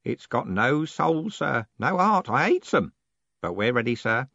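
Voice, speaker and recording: A reedy, fretful old clerk, as a male narrator puts him on, fusses and grumbles in a high, swooping voice. He is endlessly servile. The recording is dull and close. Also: very animated, measured pace, creaky, sharp tone, no room sound, exaggerated voice. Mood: grumbling. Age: older. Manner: high, quavering, deferential, keeps saying sir. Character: fussy, doleful old male postal clerk.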